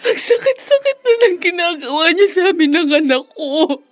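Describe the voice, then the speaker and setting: A woman's voice: very high voice, big pitch swings, moderate pace, faint music behind. A woman playing an emotional young Filipino wife and mother in a melodramatic radio drama. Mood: tearful, anguished. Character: anguished young wife and mother, worn down by waiting.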